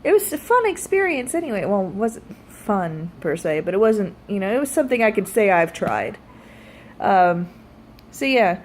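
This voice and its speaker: A woman in her early thirties talks casually, like a vlogger catching up with viewers. Her voice sits at a medium pitch, and her delivery is animated and wry. She pokes fun at herself and swears freely. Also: moderate pace, a little hiss or hum. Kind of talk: casual storytelling chat to viewers.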